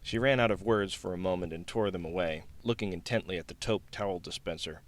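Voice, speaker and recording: A man's voice: sits low, fairly quick. A man reading scripted fiction as an audiobook narrator.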